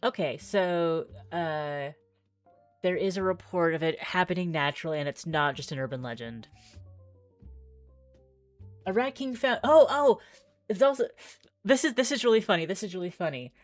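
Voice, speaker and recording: Low-voiced woman streaming a visual novel. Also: lively intonation, moderate pace, pauses often, soft background music. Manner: theatrical reading mixed with chatty asides. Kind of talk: reading game text aloud with casual live commentary.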